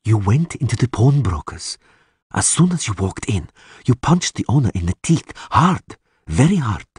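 A man's voice: mid-range voice, very animated, a little mellow, breathy edge, dry recording, pitched above the performer's own voice. A man voicing a sardonic elf prisoner in a fantasy audiobook, precise and dryly sarcastic. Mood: quiet, dry. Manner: dry sarcasm, measured, precise wording. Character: sardonic male elf prisoner, sneering, coolly articulate.

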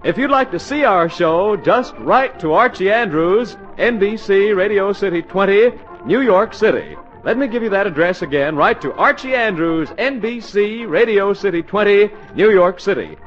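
A man's voice: high-pitched, expressive, moderate pace. An American man announcing in a polished, formal scripted style on a 1940s radio broadcast. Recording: muffled 1940s radio broadcast, faint music.

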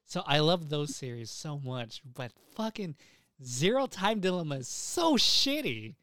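A man's voice: a little high, sing-song, a little slow, bright, forceful tone, dry recording, studio quality. A young man chatting casually as a podcast host, emphatic and opinionated, repeating himself and swearing freely.

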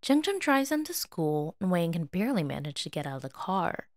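A woman reads a story aloud as its narrator. Her voice sits a little low yet moves with lively intonation, in a close, dry recording. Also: a little fast, dry recording. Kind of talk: expressive scripted story narration.

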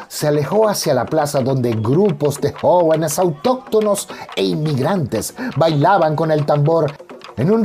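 A man's voice: on the high side, darkish tone. A man giving dramatic scripted narration for a radio soap. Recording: faint music under narration.

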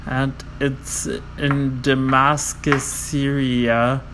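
A man slowly reading out a list of world records, halting often with fillers and stumbling over foreign names. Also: mid-range voice, flat delivery, background noise. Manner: slow, halting, with many fillers and self-corrections.

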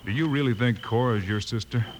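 A male actor as a heroic frontier lawman with a medium-pitched voice. He is decisive and steady in an old radio western, with faint noise behind. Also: fairly quick, close to an everyday voice. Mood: decisive, reassuring. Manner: decisive, reassuring. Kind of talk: 1950s old time radio western acting.

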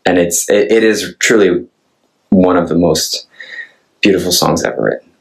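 A Norwegian man in his thirties, a singer-songwriter, talking casually and thoughtfully to camera with a Norwegian accent, often pausing and restarting his sentences. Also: deep voice, vocal fry, rough recording. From Norway. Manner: hesitant and thoughtful, many restarts.

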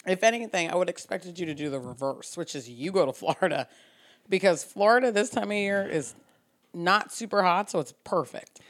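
A woman's voice: fairly low pitch, animated, brisk. A woman in her early thirties co-hosting a casual podcast, laughing often as she tells stories. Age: 30s. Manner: giggly, storytelling. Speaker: podcast co-host. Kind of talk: casual chat.

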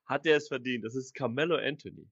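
A man's voice: medium pitch, fast, a bit bright, slightly rough, dry recording. Man, mid-range voice, easygoing and agreeable, dry, slightly muffled podcast sound. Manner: agreeable, short affirmations, hesitant restarts. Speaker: podcast co-host. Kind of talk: casual basketball debate.

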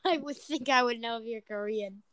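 A woman's voice: fairly high pitch, moderate pace, a bit bright, no room sound, studio quality. A young woman co-hosting a casual podcast, speaking bluntly and swearing casually. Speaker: podcast co-host. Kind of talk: casual chat.

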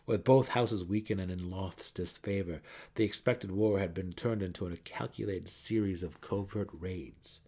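A man's voice: sits low, normal speed, mellow, breathy edge. A man reading a fantasy novel as a narrator in a theatrical, emphatic way. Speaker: audiobook narrator.

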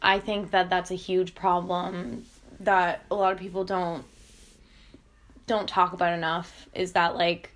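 A woman's voice: lowish voice, level tone, a little slow, a bit bright. A young woman who interviews in a casual talk, thoughtful and hesitant, with many "like" fillers. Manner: reflective, hesitant, heavy "like" fillers. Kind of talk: casual conversation.

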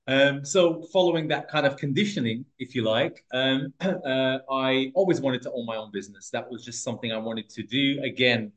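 A man's voice: medium pitch, normal speed, few pauses. A man from Portugal in his thirties, a podcast guest telling his life story in a casual, hesitant way with many restarts and fillers.

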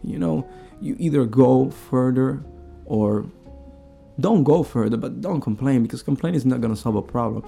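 A man's voice: mid-range voice, normal speed, gravelly, dark tone, breathy edge, faint music behind. A man who is a non-native speaker, giving his opinions casually and emphatically as an interview guest. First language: not English. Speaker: podcast guest.

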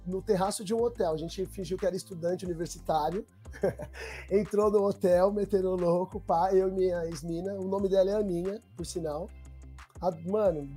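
A young Brazilian man, a podcast guest telling stories in relaxed, slang-heavy casual chat. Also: sits high. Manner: slangy street talk, relaxed. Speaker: documentary maker, guest.

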